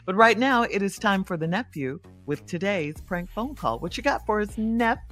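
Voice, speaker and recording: A woman's voice: mid-range voice, moderate pace. A woman co-hosting a radio show, announcing upcoming segments and bantering playfully. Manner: animated, playful. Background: faint music.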